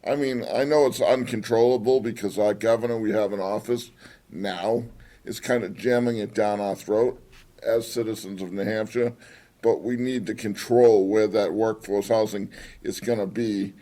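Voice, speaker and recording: A blunt, folksy man makes his points at a town forum. His voice is lowish and mellow, and he pushes hard on each point. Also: fairly even intonation, moderate pace. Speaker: town board candidate. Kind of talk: candidate forum answer.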